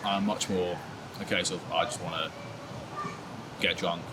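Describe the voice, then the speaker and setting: Low-pitched young man, laid-back, self-mocking, heavy air-con and traffic hum behind. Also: flat delivery, measured pace. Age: 20s. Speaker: interviewee. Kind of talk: candid casual chat.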